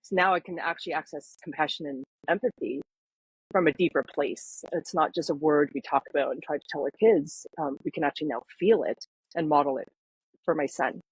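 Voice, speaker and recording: A woman in her fifties who grew up in Canada tells her story in an interview. Her voice is slightly low and breathy, soft and gentle. She talks earnestly and reflectively, often pausing on fillers. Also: no room sound, low-quality recording. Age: 50s. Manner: earnest, reflective, many ums. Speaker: guest, parenting coach. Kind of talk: podcast interview, personal story.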